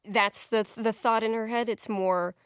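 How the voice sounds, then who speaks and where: A woman's voice: fairly high pitch, fairly even intonation, a little slow, clean tone, no echo, clean studio sound. A woman talking casually and analytically as a developer commenting on game cutscenes, earnest in the way she explains.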